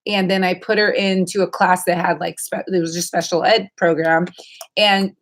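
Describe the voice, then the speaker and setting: A woman's voice: lowish voice, fairly even intonation, normal speed. A woman in her thirties hosting a casual livestream, chatting informally and answering questions in a rambling way. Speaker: livestream host.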